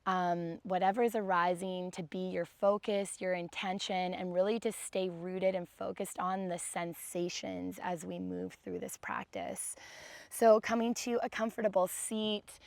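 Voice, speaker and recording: A young woman with a raspy voice, teaching a guided yoga class in a calm, gentle way. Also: mid-range voice, no room sound. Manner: calm, gentle guidance with soft sighs.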